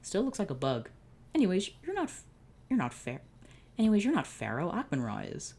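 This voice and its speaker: A woman's voice: fairly low pitch, huge pitch swings, brisk, dark tone, husky, pitched above the performer's own voice, exaggerated voice. A woman voicing a curious, blunt young boy who mocks the people he talks to.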